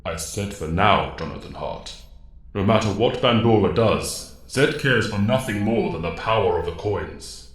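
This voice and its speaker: A man acts out a wise alien mentor from an audio drama, grave and commanding. The voice sits low and rings with heavy echo. Every phrase is formal, measured and solemn. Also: animated, a bit bright. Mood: grave, firm. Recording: echoing, reverberant voice.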